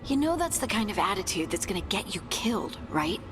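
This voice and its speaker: An actress playing a friendly but doubtful companion in a video game, talking in a casual, cautioning way. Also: female voice, medium pitch, big pitch swings, fairly quick, background noise, close to an everyday voice. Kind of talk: video game character acting.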